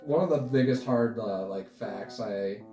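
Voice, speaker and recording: Casual male guest, twenties, halting and rambling, roomy sound with music underneath. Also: lowish voice, mellow, distant mic. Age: 20s. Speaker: interview guest, stop-motion creator. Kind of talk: casual chat. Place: indoor room, sharing the frame with host. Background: guitar music.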